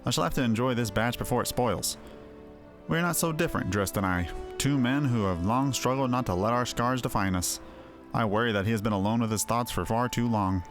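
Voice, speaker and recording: Guy doing earnest winemaker dude from a fantasy game, expressive, medium pitch, music behind it. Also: a little fast, natural voice. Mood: reflective, concerned. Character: earnest male winemaker, scarred, thoughtful, excitable about vines. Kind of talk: game quest dialogue read aloud. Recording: music under the voice.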